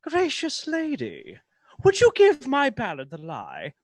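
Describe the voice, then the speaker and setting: A sly courtly minstrel. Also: male voice, very high-pitched, wildly swooping pitch, slow, full character voice. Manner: playful, courtly and mock-innocent. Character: sly courteous male minstrel, an outlaw in disguise.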